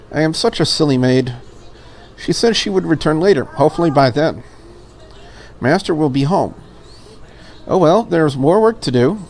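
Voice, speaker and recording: A man's voice: a little high, creaky, darkish tone. Man reading as a prim, polite game maid. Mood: wistful. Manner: formal, prim wording. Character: polite, lonely, curious young female maid.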